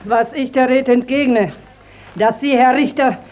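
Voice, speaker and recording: An actress playing an indignant, sharp-tongued village mother, speaking with scornful emphasis in a classical radio play. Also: high-pitched, a little slow. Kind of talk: 1950s German classical radio play acting.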